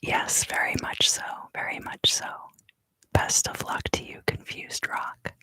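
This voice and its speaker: A woman giving an ASMR card reading for a live stream in a soft, unhurried, often fully whispered voice, close to the microphone. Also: dry recording. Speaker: ASMR tarot reader and live stream host.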